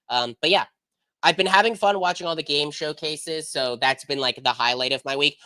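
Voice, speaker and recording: Bright-voiced, congested young American man. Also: medium pitch, no room sound. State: sick with congestion. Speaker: podcast host. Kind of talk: casual chat.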